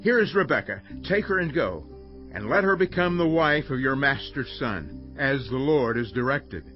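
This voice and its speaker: An actor playing a hospitable, eager brother of a young bride in a dramatized audio Bible. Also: male voice, medium pitch, lively intonation, normal speed, lightly acted. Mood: solemn. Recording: dull lo-fi audio, music behind.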